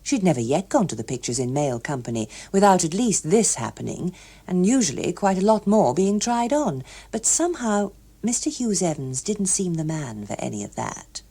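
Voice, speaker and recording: Female audiobook narrator, English accent: lowish, dark-toned voice, expressive scripted storytelling. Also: normal speed, husky.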